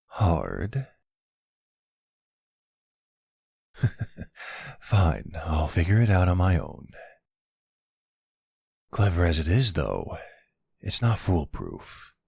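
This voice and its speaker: A man's voice: a little mellow, no room sound, exaggerated voice. A man playing a smug, flirty, teasing vampire in an intimate ASMR roleplay, with a playful, taunting drawl close to the microphone. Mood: seductive menace. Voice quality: deep, gravelly, husky. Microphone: close studio-style microphone, dry.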